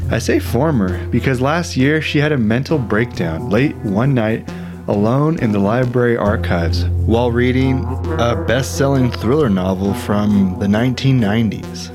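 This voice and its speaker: Actor as wry thriller narrator over guitar music. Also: male voice, mid-range voice, natural voice. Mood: grave. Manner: dramatic, sardonic, deliberate emphasis. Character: wry, aggrieved male narrator investigating a dark mystery. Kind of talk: scripted first-person thriller narration.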